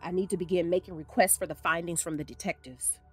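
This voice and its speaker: A woman playing a hurt, fierce ex-wife and lawyer with steadfast faith in an audio drama, speaking emphatically and deliberately. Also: lowish voice, fairly even intonation, husky, soft background music. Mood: restrained, cool.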